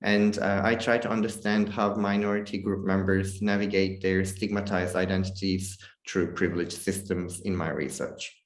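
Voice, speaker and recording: A man's voice: low-pitched, flat delivery, moderate pace. A man, a social psychologist, introducing himself thoughtfully on a video call. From Turkey. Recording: echoey room.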